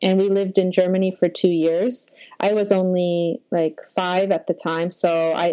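A woman's voice: lowish voice, mellow, no room sound, highs cut off. A Polish-born woman, Polish her first language, telling her life story in a rambling, reflective way with many fillers. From Poland. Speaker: guest. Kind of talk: personal life story in an interview.

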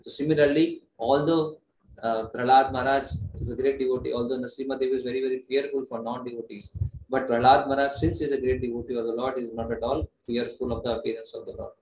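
A man gives an earnest devotional talk, explaining each point patiently and often restarting a phrase. His voice sits at an ordinary middle pitch. It carries a roomy echo over a faint hiss, like a computer mic in an online class. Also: fairly even intonation, quick pace, darkish tone. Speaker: preacher.

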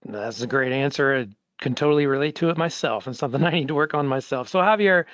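A man's voice: medium pitch, expressive, quick pace, a bit bright, no echo. A man hosting a podcast, asking questions and reading sign-off announcements in an upbeat, promotional way. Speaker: podcast host. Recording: dry, slightly dull audio.

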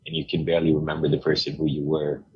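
A middle-aged man from Hong Kong, a guest telling his story in a relaxed, rambling way with many fillers. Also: rumbling low, rapid-fire, husky, lo-fi sound. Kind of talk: casual interview talk.

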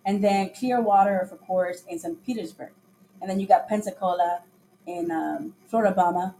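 Mellow-voiced chatty woman from Costa Rica. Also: mid-range voice, moderate pace, a little hiss or hum, rough recording. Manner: chatty storyteller who acts out quoted dialogue. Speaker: podcast guest. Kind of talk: casual chat on a livestream.

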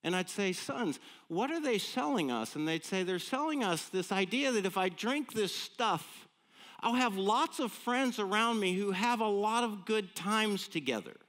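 An American man in his late fifties delivers a sermon as if chatting with friends. His voice sits a little high and swings widely in pitch. He tells stories with folksy, self-deprecating humor and often checks in with rhetorical questions. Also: animated, unhurried, a bit bright. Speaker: preacher. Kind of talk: conversational sermon with humorous storytelling.

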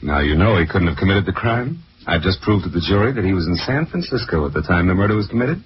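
Deep-voiced, smug crooked lawyer. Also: male voice, lively intonation, quick pace. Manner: condescending, sardonic, drawn to mockery. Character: smug, smooth-talking crooked male defense lawyer. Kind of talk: 1940s radio drama acting. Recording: muffled, noisy 1940s radio recording.

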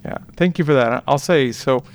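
A man, chatting as an interview guest and pausing often with "um.". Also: mid-range voice, smooth. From Puerto Rico. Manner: hesitant, many ums and restarts. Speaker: guest, veterinarian. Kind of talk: casual interview chat.

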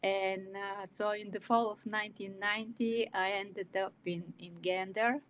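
A woman in her sixties from Bulgaria, speaking English with a Bulgarian accent, telling her life story as a guest with many pauses and fillers. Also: mid-range voice, little pitch movement, a bit bright, a little hiss or hum. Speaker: guest, illustrator and author. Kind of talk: personal storytelling in an interview.

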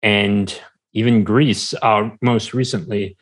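A man with a fairly low voice talks casually as a podcast guest. His delivery is conversational and halting, as he often stops to search for the right words. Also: steady tone.

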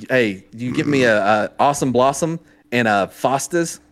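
A man co-hosting a casual streamed group chat, giving a deadpan mock apology and teasing. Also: medium pitch, moderate pace. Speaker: streamer co-host.

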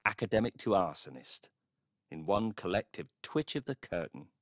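A kind-hearted but easily indignant husband in middle age. His deepish voice swings widely as he confides in the listener, colloquial and wry. The recording is close and dry, like an intimate radio monologue. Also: very animated, moderate pace, no echo. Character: warm-hearted, indignant Cornish neighbour, a doting husband. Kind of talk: audio drama monologue, confiding storytelling.

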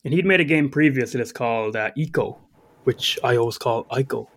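A man's voice: medium pitch, fairly quick. Man from ireland, co-host, sniffly with a cold, self-mocking, laughs a lot. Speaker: podcast co-host. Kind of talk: casual podcast chat.

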